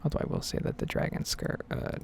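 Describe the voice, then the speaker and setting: A woman giving whispered and soft-spoken ASMR game commentary in a breathy, rambling, unhurried way. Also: slight background noise. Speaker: gaming ASMR video creator.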